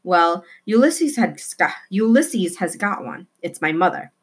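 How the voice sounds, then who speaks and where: A woman's voice: fairly low pitch, lively intonation, measured pace, breathy edge. Woman reading as a blunt, impatient young girl. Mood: matter-of-fact.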